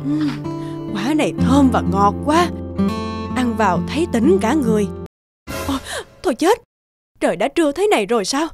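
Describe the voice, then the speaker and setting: A woman voicing a poor, weary, self-sacrificing village mother in a children's folk-tale cartoon, gentle and plaintive. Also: high-pitched, very animated, normal speed, pauses often. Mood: weary, talking to herself. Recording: music behind the voice.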